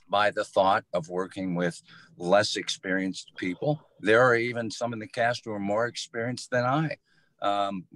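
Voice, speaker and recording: A man's voice: lowish voice, fairly bright tone, dry recording. A man giving easygoing, thoughtful, conversational answers as an interview guest from community theater.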